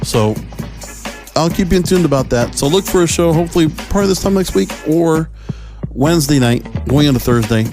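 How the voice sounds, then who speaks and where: A man's voice: on the high side, expressive, brisk, music in the background. A man hosting a solo podcast, talking casually about entertainment in a rambling, opinionated, conversational way. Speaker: podcast host.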